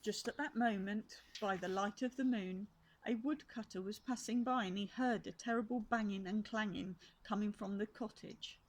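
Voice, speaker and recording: An older woman, a grandmother, reads a children's storybook aloud, adding gentle asides for young listeners. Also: medium pitch, moderate pace, poor audio. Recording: faint background noise.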